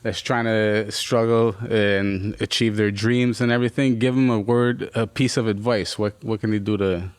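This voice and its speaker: A man's voice: fairly low pitch, steady tone, normal speed, few pauses, smooth, no echo. A man hosting a podcast in laid-back casual chat, using friendly slang. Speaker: podcast host.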